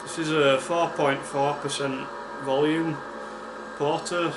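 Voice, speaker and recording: A man with a West Yorkshire accent hosting a casual beer-tasting vlog, chatty and unhurried, with plenty of ums and uhs. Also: a little high, little pitch movement. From West Yorkshire, England. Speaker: beer reviewer, channel host. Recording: echoey room with air-conditioning hum.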